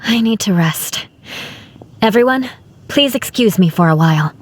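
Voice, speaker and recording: A woman's voice: mid-range voice, animated, moderate pace, a little hiss or hum, lightly acted. An actress playing a composed, polite and thoughtful young woman in a fantasy game. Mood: concerned, tired. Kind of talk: scripted video game voice acting. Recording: music clearly behind the voice.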